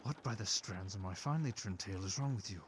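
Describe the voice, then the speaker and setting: A man's voice: fairly low pitch, fast talker, natural voice. Man playing a haughty, grumpy fox familiar, noisy backdrop. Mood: exasperated, scolding. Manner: pompous, scolding, sharp-tongued. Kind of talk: fantasy roleplay audio acting.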